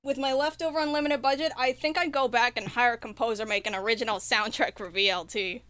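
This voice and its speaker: A woman's voice: a little high, steady tone, fast, a bit bright, no echo. A woman speaking as a podcast guest and indie developer, chatting casually in an enthusiastic, earnest way. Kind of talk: casual interview chat.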